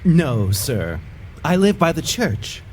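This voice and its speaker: A man's voice: a little high, big pitch swings, slow, slight background noise. A man playing an impudent, riddling jester in a Shakespeare audio play, with a teasing, lilting, theatrical delivery. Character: impudent, riddling male jester, a wordplaying fool.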